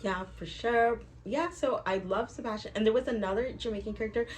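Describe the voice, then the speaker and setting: A woman's voice: a little high, a little fast, slight background noise. A woman hosting a vlog, giving a casual movie review in a very informal, conversational way. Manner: informal, peppered with "like".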